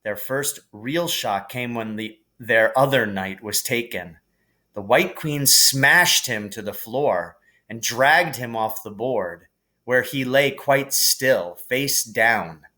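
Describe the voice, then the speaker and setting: A man reading a storybook aloud as an expressive narrator, in a measured, deliberate storytelling manner. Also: mid-range voice. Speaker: teacher reading a novel aloud.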